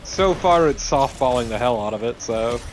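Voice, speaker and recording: Mid-pitched man bantering over gameplay. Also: expressive, moderate pace, poor audio. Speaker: let's play co-commentator. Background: game music.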